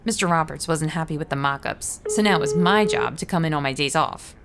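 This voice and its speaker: A woman playing a working mother in an audio drama, speaking in a gentle, explanatory way. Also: deep voice, brisk, vocal fry, over loud music. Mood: weary narration. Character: apologetic working mother Jess, narrating her day. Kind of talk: scripted audio drama narration and dialogue.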